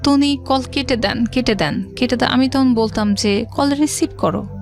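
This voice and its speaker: A middle-aged Bangladeshi woman tells a personal story as an interview guest. Her voice is on the high side, and she talks conversationally with English words mixed in. Faint music plays behind her. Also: normal speed. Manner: conversational, quotes past dialogue, mixes in English words. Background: background music.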